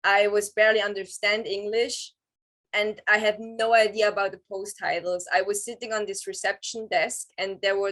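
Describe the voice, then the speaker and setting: A woman in her thirties with a Swiss German accent talks through her story in an interview. She pauses often and fills the gaps with "um" as she searches for English words. Her voice sits at a middle pitch. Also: fairly bright tone. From Switzerland. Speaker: interview guest. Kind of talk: casual interview over video call.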